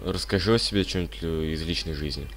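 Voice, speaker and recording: Male interviewer, very deep voice, under the weather, terse questions. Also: fast talker. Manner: short, plain questions. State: a bit ill. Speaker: interviewer, channel host. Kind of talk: casual interview.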